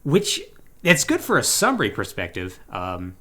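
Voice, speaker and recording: A man co-hosting a podcast in casual discussion of video games, talking in an animated way. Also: on the low side, normal speed. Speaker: podcast co-host.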